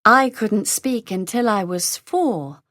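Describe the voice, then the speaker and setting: A woman reading a scripted conversation for English learners, saying each line slowly and carefully, then repeating it. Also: mid-range voice, animated, a bit bright, dry recording, clean studio sound. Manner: slow, carefully enunciated, lines read three times over. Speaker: dialogue reader for English learners.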